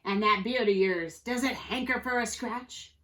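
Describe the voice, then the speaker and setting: A woman voicing a gruff, short-tempered male pirate captain in a children's storybook, bellowing in folksy pirate slang. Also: a little high, measured pace, a bit bright, rough recording. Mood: demanding, boastful.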